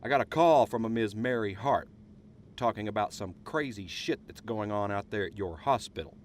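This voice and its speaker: An actor playing a corrupt small-town sheriff in a horror audio drama, gruff, coarse and menacing. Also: male voice, lowish voice, moderate pace, slight background noise, close to an everyday voice. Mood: conspiratorial.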